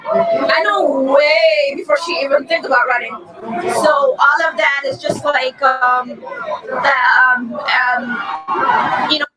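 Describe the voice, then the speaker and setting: A woman protester giving an emotional, halting street interview, shouting to be heard at an outdoor rally. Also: high voice. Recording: loud crowd and street noise.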